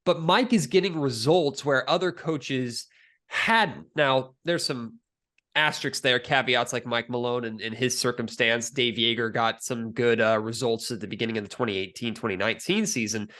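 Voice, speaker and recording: A man's voice: medium pitch, moderate pace, sharp tone. A man hosting a sports podcast, talking through analysis and putting questions to a guest in conversation.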